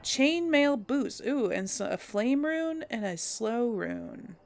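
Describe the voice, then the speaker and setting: Slow, gravelly woman thinking aloud. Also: fairly high pitch, expressive, slight background noise. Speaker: let's play host. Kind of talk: casual gameplay commentary.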